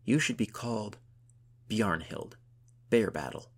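A man voicing an exuberant, teasing woman adventurer in an audiobook, exclaiming and declaiming in a gravelly voice. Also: lowish voice, unhurried. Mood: giddy admiration. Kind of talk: audiobook character dialogue.

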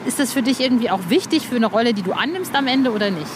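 A woman interviewing casually for a podcast, halting and repeating words with a keen fan's excitability. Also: a little high. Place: in Berlin with street traffic audible. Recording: clear city traffic noise behind. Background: car traffic.

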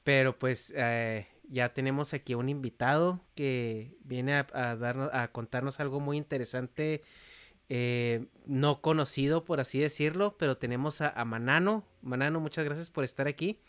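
A man's voice: mid-range voice, normal speed, a bit bright, clean tone. A man hosting a podcast, introducing things in an informal, friendly, conversational way. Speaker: podcast host.

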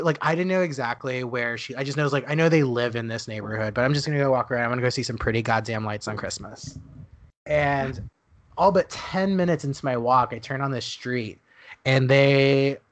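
Chatty young man telling a story. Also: mid-range voice. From Dallas, Texas. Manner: chatty storyteller, laughs often, many "like" fillers. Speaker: podcast co-host. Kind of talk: casual chat.

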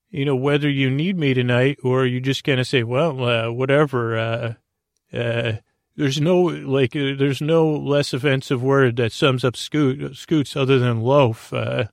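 A man hosting a bedtime podcast in a creaky, soothing voice, meandering hesitantly through absent-minded tangents. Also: mid-range voice, no echo, clean studio sound. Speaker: podcast host. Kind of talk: rambling bedtime sleep podcast monologue.

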